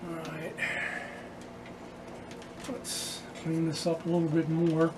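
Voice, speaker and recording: A man giving a casual hands-on repair walkthrough, speaking haltingly with pauses in mid-sentence. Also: fairly high pitch, monotone, noisy background. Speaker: vlogger repairing vintage radios.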